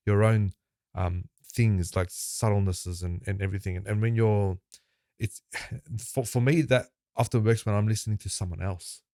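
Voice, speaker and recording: A man's voice: lowish voice, fairly even intonation, moderate pace, warm tone, no room sound, clean studio sound. A man hosting a music podcast, talking in a rambling way and repeating words as he thinks aloud. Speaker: podcast host. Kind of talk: podcast conversation.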